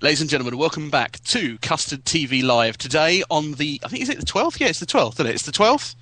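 A man's voice: a little high, expressive, fast talker, bright, forceful tone. A man co-hosting a live TV podcast, chatting casually and doing jokey presenter-style links. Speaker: podcast co-host.

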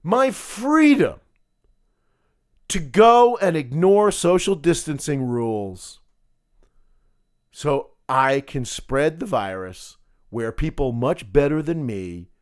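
Sarcastic, lively man ranting. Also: a little high, slow, long pauses. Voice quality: gravelly. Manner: sarcastic, combative, emphatic. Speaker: political podcast host. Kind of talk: opinionated solo commentary.

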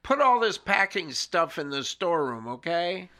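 An actor playing a polite, workaday museum security guard in a radio drama. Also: male voice, fairly high pitch, very animated, normal speed, background noise. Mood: businesslike.

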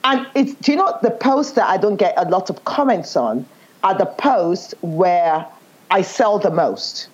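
A woman with a Nigerian accent talks in a casual conversation, emphatic and animated. Her pitch rises and falls a great deal as she tells her stories. The recording sounds dull and lo-fi. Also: measured pace. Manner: animated, emphatic storytelling with laughs. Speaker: guest.

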